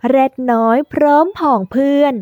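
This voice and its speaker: A woman narrating a scripted children's storybook in a drawn-out, deliberate way for young learners, in the manner of a teaching tale. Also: high voice, steady tone, no room sound, phone-quality sound.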